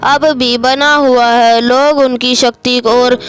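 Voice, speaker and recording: A woman's voice: a little high, steady tone, takes it slowly. A woman narrator reading a scripted horror story. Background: music.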